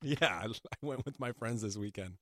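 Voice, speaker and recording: A man on a radio talk show chatting casually, in a halting, slightly sheepish way. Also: mid-range voice, sharp tone, no echo, clean studio sound. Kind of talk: casual chat.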